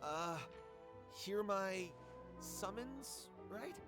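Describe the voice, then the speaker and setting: A woman playing an earnest, flustered young author in a video game, jumpy, halting and easily muddled. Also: mid-range voice, expressive. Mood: unsure. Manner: halting, unsure. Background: music.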